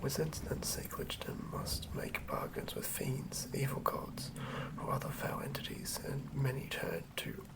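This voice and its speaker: An ASMR host speaking in a soft, breathy whisper, gently and quietly sharing tabletop fantasy material. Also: mid-range voice, level tone, a little slow, hardly any pauses, low-quality recording. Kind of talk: whispered ASMR, chatting and reading aloud from a game manual.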